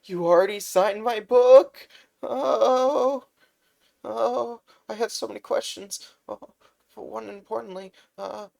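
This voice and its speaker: A man voicing an excitable, nervous teenage boy who idolizes a hero, stammering and flustered in a fan-told story. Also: medium pitch, slow pace, long pauses, voice pushed up, lightly acted. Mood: flustered, eager.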